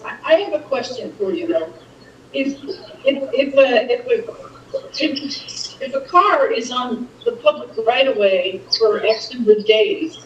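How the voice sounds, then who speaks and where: A woman's voice: fairly high pitch, slight background noise. A woman with a big voice who leads a community meeting and questions a deputy. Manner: talks loudly, self-described big voice. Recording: echoey, distant, dull room sound.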